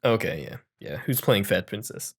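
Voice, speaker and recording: A young man hosting a casual podcast, chatting in an animated, slangy way. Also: on the low side, normal speed, breathy edge. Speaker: podcast host. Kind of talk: casual pop-culture chat.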